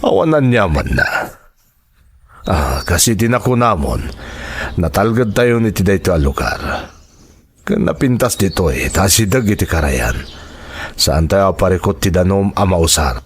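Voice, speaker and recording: A predatory rebel commander in an Ilocano-accented radio drama. His voice is low and husky and swings widely in pitch, as he plays the persuasive protector with menace underneath. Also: very animated, measured pace, pauses often, full character voice. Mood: coaxing, protective. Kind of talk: Filipino radio drama acting.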